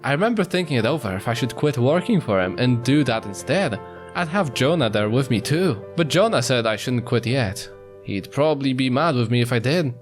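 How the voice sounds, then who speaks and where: A man reading a visual novel aloud in an expressive narrating style. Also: mid-range voice, a little fast. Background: guitar music.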